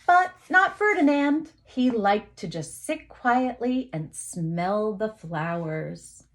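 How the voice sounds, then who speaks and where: A woman teacher reading a picture book aloud to young children, expressively, with dramatic emphasis, pauses and asides to her listeners. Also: mid-range voice, lively intonation.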